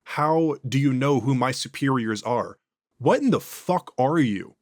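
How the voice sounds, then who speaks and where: A man's voice: medium pitch, expressive, measured pace, dry recording, clean studio sound, natural voice. A man doing an earnest, persistent interrogator. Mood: alarmed. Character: earnest male interrogator at a secure facility.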